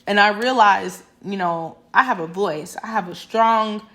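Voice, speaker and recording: A woman's voice: mid-range voice, a little slow, fairly bright tone. A young woman talking straight to camera in a passionate, emphatic monologue that rambles with restarts. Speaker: vlogger.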